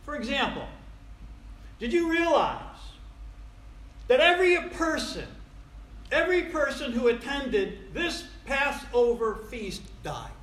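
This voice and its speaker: A man's voice: very high voice, very animated, slow pace, pauses often, fairly bright tone. A man in his sixties preaching a sermon in a folksy, conversational way, asking rhetorical questions and repeating phrases for emphasis. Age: 60s. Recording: echoey room, faint background noise.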